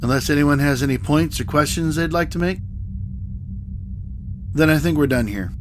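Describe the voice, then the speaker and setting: Measured starship captain played by a man, ambient noise. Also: on the high side, natural voice. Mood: brisk, authoritative. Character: calm, authoritative starship captain. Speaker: audio drama actor. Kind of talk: scripted sci-fi audio drama.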